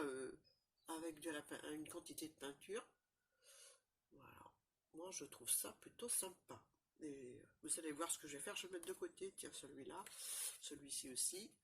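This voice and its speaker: A woman's voice: low voice, a bit bright, a little hiss or hum. Chatty woman with a head cold. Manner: chatty, rambling, constant fillers and "voilà". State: has a cold, stuffy. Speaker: crafting channel host. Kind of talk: casual show-and-tell crafting demo.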